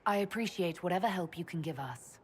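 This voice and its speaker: Low-pitched, resolute woman commander, acted. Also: animated, fairly quick, a little hiss or hum, natural voice. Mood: composed. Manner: firm, commanding. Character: resolute woman leading a fantasy army. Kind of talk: dramatic video game acting.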